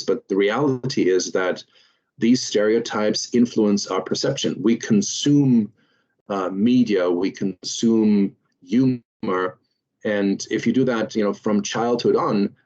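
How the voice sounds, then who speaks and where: Lecturer: man, German accent, low voice, unhurried with frequent fillers, muffled webinar audio. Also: darkish tone. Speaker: lecturer, series host. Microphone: video call.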